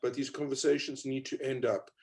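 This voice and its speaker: Mellow-voiced South African man. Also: mid-range voice, flat delivery, brisk, vocal fry. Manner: reflective, hesitant with many fillers. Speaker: panel speaker. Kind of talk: panel discussion.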